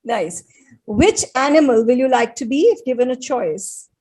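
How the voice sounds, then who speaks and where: A woman hosting an interview, asking rapid-fire questions with giggly, encouraging reactions. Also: on the high side, expressive, dark tone, slightly rough. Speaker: interview host.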